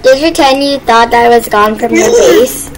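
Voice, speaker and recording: A woman chatting casually and improvising role-play while gaming, excitable and bossy. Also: a little high, moderate pace, over loud music. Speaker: gaming video player and role-play partner. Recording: noisy game-play voice recording.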